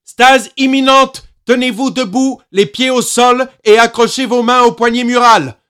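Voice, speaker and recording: A man voicing a spaceship loudspeaker that blares commands in a storybook reading. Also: very high voice, steady tone, clear, resonant tone, voice pushed up. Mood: commanding. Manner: loud, slow, commanding. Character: ship loudspeaker, blaring commands.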